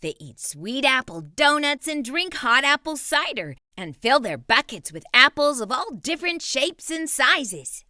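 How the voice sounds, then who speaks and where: A woman reading a picture book to children with a bright, animated voice. Her pitch sits a little high and moves a lot, recorded dry and close. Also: a little slow, few pauses, no room sound. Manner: lively, expressive reading. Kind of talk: read-aloud storytelling.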